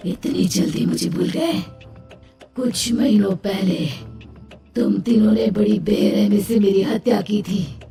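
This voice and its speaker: A woman voicing the enraged, menacing ghost of a murdered young woman in a horror cartoon, with a creaky voice. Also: mid-range voice, slow pace, warm tone. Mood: vengeful rage. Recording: distant echoing voice over music.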